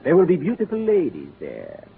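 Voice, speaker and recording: Smug, hammy crook lord; wildly swooping pitch; tinny old radio with crowd and noise. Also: male voice, wildly swooping pitch, a little fast, creaky, exaggerated voice. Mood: scheming. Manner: mock-suave and hammy, grand romantic delivery. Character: vain smooth-talking male crook, master of the Casbah. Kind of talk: 1940s radio comedy acting.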